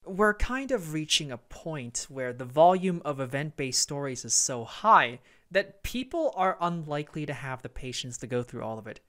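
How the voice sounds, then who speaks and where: A man's voice: on the high side, animated, moderate pace, hardly any pauses, fairly bright tone. A man hosting a video game review, talking in a casual, opinionated way with conversational, emphatic phrasing.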